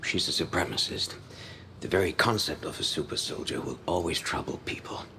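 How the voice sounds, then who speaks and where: An actor playing a brooding, vengeful film villain who talks in a hushed, measured, deliberate way. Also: male voice, very deep voice, creaky, slight background noise, exaggerated voice. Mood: cold conviction. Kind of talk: quiet film monologue.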